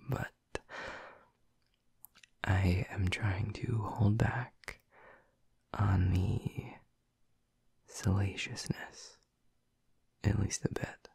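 Male actor as a doting vampire boyfriend: low, breathy, slow whispers, teasing and intimate. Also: flat delivery, darkish tone, dry recording, heavily acted. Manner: teasing and affectionate. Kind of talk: ASMR audio roleplay.

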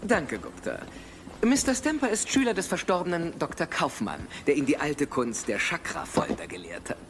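Smug evil tycoon in a movie dub, fancy formal talk, super swoopy voice, bit of background noise. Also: male voice, a little high, big pitch swings, moderate pace. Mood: smug, courteous. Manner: pompous, theatrical, formal. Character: suave sadistic male media mogul villain, gloating. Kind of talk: dubbed action film acting. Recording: film soundtrack with faint noise.

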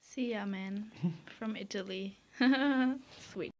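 A woman's voice: medium pitch, takes it slowly, a little mellow, highs cut off, a little hiss or hum. A woman in her twenties filming a casual travel vlog outdoors with a handheld camera, laughing often and exclaiming and swearing freely. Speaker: vlogger. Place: outdoors on a hiking trail and beach.

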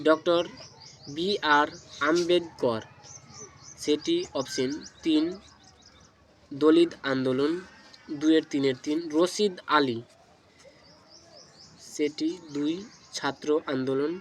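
Higher-voiced man tutoring, noisy recording. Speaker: exam tutor, channel host. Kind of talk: reading out exam questions and answers as a lesson.

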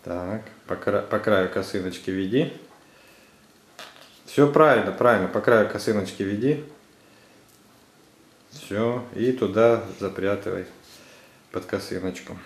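A man's voice: lowish voice, unhurried, long pauses. A man, a father talking casually to his children, giving them simple, encouraging, repeated instructions as they do a craft. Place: indoors, living room at home. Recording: roomy home recording with noise.

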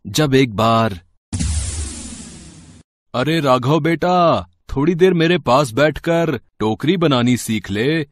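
A man's voice: a little high, no room sound, studio quality. A man voicing a kindly older village father who weaves baskets in a cartoon story, affectionate and encouraging. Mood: gentle.

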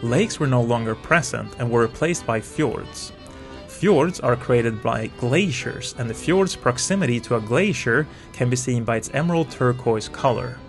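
A middle-aged man from Sweden narrating his motorcycle travel vlog in a calm, measured voice-over. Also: mid-range voice, gravelly. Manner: calm, informative, measured delivery. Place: voice-over, not recorded on the road. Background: faint music.

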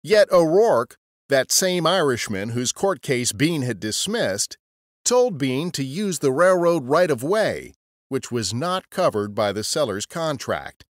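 Lively male storyteller. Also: mid-range voice, a little slow, no room sound, clean studio sound. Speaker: podcast narrator. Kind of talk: scripted storytelling narration.

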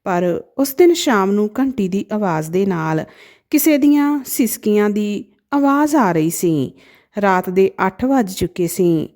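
A woman narrating a sad moral story from a script in a gentle, emotive storytelling manner. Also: medium pitch.

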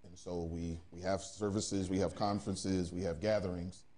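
Deep voiced pastor, middle-aged, introducing himself on a panel. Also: male voice, level tone, normal speed, warm tone. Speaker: pastor, talk-show guest. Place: indoor TV studio, seated.

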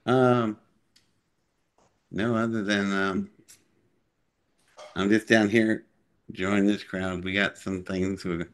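Older male interview guest, lowish voice, rambling matter-of-fact storyteller on a video call. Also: fairly even intonation, slow, pauses often. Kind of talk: casual chat, telling anecdotes. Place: living room on a sofa, on a video call. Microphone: device mic at some distance, video call.

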